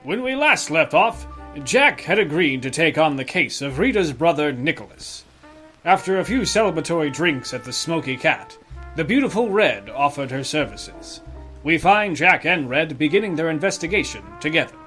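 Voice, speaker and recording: A man's voice: a little high, big pitch swings, moderate pace, fairly bright tone, faint music behind. A man playing a theatrical announcer in the style of an old-time radio mystery serial. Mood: storytelling recap. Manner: dramatic, theatrical. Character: suspenseful male serial announcer recapping the mystery.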